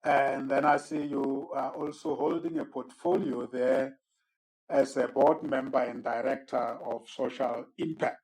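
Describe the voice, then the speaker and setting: A man hosting a formal podcast interview. He is courteous and measured, often repeating words as he introduces his guest. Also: mid-range voice, animated, darkish tone, low-quality recording. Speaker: host and moderator.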